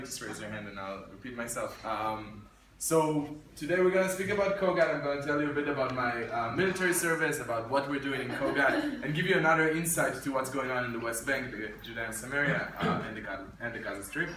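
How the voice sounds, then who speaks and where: A man's voice: mid-range voice, a little fast, hardly any pauses, background noise. Young man with many hesitations. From Israel. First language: Arabic. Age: 20s. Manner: hesitant, many ums and restarts, wry humor. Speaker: guest speaker, military officer. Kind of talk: informal presentation to students. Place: indoor room with an audience. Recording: roomy echo from a distant mic.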